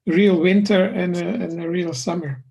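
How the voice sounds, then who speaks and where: A man from Croatia chatting casually before a talk, halting and filling pauses. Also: high-pitched, steady tone, gravelly, a little mellow. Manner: halting, many fillers. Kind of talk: casual chat.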